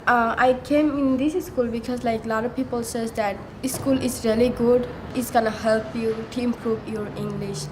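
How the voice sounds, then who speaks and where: A woman student from Bangladesh speaking simple, halting English. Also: on the high side, steady tone, noisy background.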